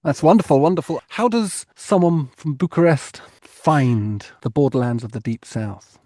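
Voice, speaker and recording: Husky, mellow male podcast host. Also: on the high side, normal speed, no room sound. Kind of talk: scripted podcast introduction and interview.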